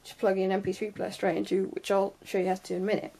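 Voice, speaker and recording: A woman's voice: medium pitch, level tone, very fast, gravelly, mellow, breathy edge. A young woman with a mid-range voice presents a casual technical how-to. She talks off the cuff, hesitating often and tacking on offhand asides that trail away. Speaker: sound tech tutorial presenter. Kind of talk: informal unscripted hands-on tutorial.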